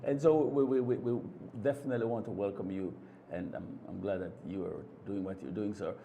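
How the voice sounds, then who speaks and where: A man's voice: on the low side, gravelly, lo-fi sound, a little hiss or hum. A man hosting a live morning TV show in a studio, talking casually with guests and often repeating words as he goes. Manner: halting, repeats words, warm welcomes.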